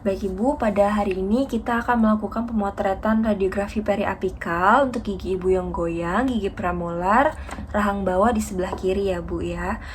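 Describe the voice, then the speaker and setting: A woman's voice: medium pitch, moderate pace, a little hiss or hum. A young woman giving a polite, methodical, formal step-by-step demonstration as a student. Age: young adult. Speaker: dental student demonstrating a radiography procedure. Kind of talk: instructional skills demonstration, step-by-step explanation.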